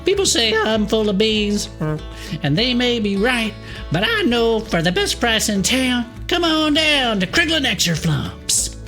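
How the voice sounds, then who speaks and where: A folksy small-town shopkeeper, voiced by an actor delivers a radio advert. The voice bounces through animated pitch swings over faint plucked guitar music. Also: a little slow. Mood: chirpy, selling.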